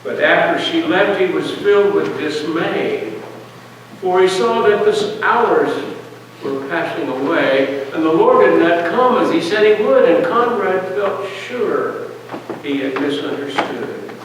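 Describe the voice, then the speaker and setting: Guy reading a story poem real slow and deliberate, far from the mic in a big echoey church. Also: sits high, lively intonation. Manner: deliberate, with pauses between short phrases. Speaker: reader at a church service. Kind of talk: dramatic poetry reading, storytelling. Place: large church hall, heard from a distance. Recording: distant, echoey church hall, faint noise.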